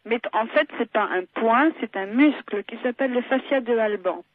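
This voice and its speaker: A woman's voice: on the high side, moderate pace, dry recording. A woman doctor explaining things on the telephone in a matter-of-fact, courteous and didactic manner. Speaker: doctor taking a phone call. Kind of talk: explanatory phone conversation. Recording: tinny narrow phone line.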